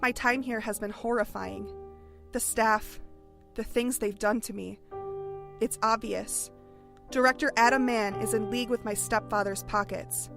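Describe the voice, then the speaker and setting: A woman playing a tense young woman in a horror audio drama, speaking gravely and with measure. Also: a little high, steady tone, a little slow, music in the background. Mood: grave, uneasy. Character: tense young woman reading a grim journal.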